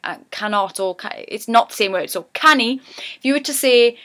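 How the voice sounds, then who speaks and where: Chatty Geordie woman with a bright voice. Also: a little high, animated, moderate pace. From Newcastle, England. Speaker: vlogger teaching dialect. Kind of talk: casual dialect lesson.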